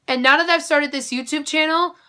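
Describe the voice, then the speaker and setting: A woman's voice: high-pitched, steady tone, a little fast, pushed and bright. A young woman vlogger chatting upbeat to her viewers, her voice nasal and congested from a head cold. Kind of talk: casual talk to camera.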